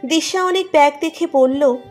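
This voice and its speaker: High-pitched woman narrating a story. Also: moderate pace, darkish tone. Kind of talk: scripted romantic story narration. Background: music under the narration.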